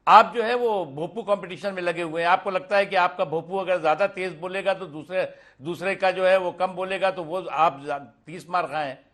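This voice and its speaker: A man giving off-the-cuff political remarks in a colloquial, halting way, often repeating words. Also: high voice, fairly bright tone.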